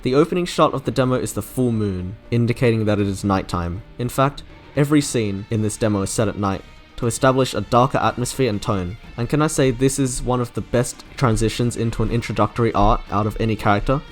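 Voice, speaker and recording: A man's voice: mid-range voice, brisk. A man delivering scripted, enthusiastic review narration in an articulate, wordy way, like a video essayist. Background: music playing under the narration.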